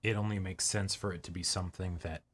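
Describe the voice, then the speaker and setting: A man's voice: low-pitched, fairly even intonation, fast talker. A man co-hosting a reaction show in casual chat, thinking aloud as he explains and checking for agreement. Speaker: co-host of an anime reaction show.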